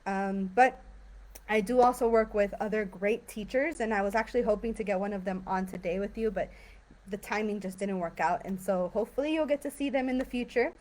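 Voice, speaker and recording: Woman, young, chatty, relaxed, filler-heavy, live-stream mic. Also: mid-range voice, brisk. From San Diego and Tijuana. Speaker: English teacher. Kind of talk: casual live-stream talk.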